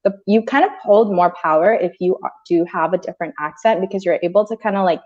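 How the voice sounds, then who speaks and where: A relaxed American woman co-hosting a casual chat. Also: lowish voice, fast talker, muffled recording. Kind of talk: casual video call chat.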